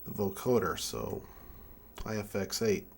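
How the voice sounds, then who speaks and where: A young adult man giving an informal hands-on tutorial, speaking haltingly as he thinks aloud while working. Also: on the low side, expressive, creaky, slight background noise. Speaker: music keyboard tutorial presenter. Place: indoor room with draped backdrop.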